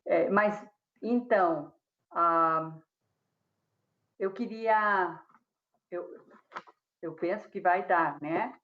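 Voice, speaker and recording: A Mexican woman, a speech therapist, speaking Portuguese with a Mexican Spanish accent, halting with many fillers and restarts on a video call. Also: on the low side, muffled recording. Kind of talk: hesitant conference talk. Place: room at home.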